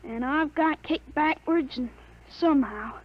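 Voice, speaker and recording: A woman playing a thirteen-year-old boy with a grown man's mind in a 1950s radio drama, speaking calmly and matter-of-factly on a dull, lo-fi old recording. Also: sits high, a little slow. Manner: calm, matter-of-fact, self-assured.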